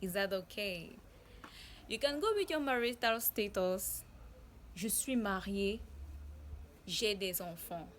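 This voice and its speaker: A young woman from Cameroon gives a beginner language lesson at a very unhurried pace, saying each example phrase slowly and deliberately. Her pitch sits in a middle range. Steady background noise with passing vehicles runs under her voice. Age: young adult. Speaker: French teacher.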